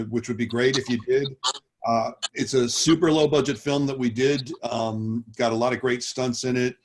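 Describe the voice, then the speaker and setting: An actor with dry, self-mocking humor, talking casually on a video call. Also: male voice, mid-range voice, a little hiss or hum.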